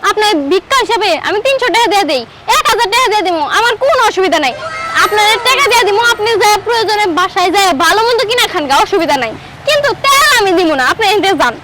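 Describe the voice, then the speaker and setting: A woman's voice: very high-pitched, lively intonation, very fast, very noisy. A woman acting in a comic short film as an irritable, scolding fuel seller with a Bangladeshi accent. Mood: dismissive, scolding. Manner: scolding, dismissive, argumentative. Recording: music laid loudly over dialogue.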